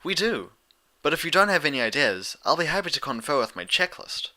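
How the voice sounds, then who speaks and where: A man's voice: on the high side, very animated, a little fast, sharp tone, voice pushed up, heavily acted. A man voicing a studious, fussy young female unicorn pony with precise, wordy phrasing in a story reading. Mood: cheerful.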